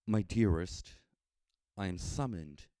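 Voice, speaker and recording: A man voicing a gentle, dying wife in a storybook, calm and resigned. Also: sits low, takes it slowly, dry recording, clean studio sound. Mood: calm resignation.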